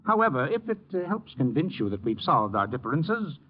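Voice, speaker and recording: Smug upper-class villain, muffled recording. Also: male voice, fairly high pitch, big pitch swings, fairly quick. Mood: haughty, irritated. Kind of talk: 1940s-1950s radio acting. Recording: muffled, noisy old radio recording.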